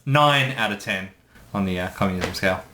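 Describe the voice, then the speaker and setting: A man's voice: fairly low pitch, a little fast, sharp tone, slight background noise. A man co-commentating on a film in a casual, chatty style. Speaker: guest co-commentator.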